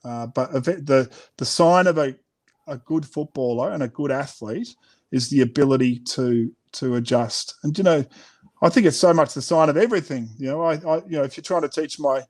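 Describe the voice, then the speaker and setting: A man's voice: a little high, moderate pace, a little mellow. A middle-aged man with an Australian accent talking casually and reflectively as an author being interviewed. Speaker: children's author, interview guest.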